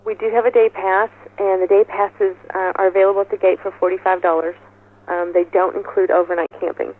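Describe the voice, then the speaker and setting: A woman's voice: mid-range voice, level tone, fairly quick. A woman talking conversationally over the phone as an interview guest promoting an event. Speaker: festival organizer, interview guest. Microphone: telephone. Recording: muffled, band-limited phone line.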